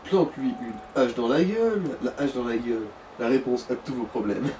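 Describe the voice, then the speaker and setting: A man's voice: medium pitch, animated, moderate pace, a little mellow. A man casually bantering as a let's play co-commentator, joking and swearing informally. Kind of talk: casual gaming chat. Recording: distant mic with background noise.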